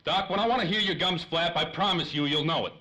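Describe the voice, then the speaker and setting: A man's voice: fairly high pitch, quick pace, bright, forceful tone, poor audio. A man playing an impatient, wisecracking, slangy tough guy in 1930s radio drama style. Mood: impatient, sarcastic. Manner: slangy, snappy. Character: hard-boiled, impatient, wisecracking male 1930s detective type. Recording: faint noise, light ambience.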